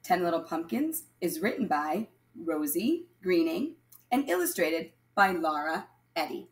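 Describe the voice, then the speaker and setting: A woman reading aloud and counting as a primary teacher, addressing young children in an instructional way and pausing for answers. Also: fairly low pitch, big pitch swings. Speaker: primary school math teacher. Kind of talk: children's read-aloud lesson, counting along.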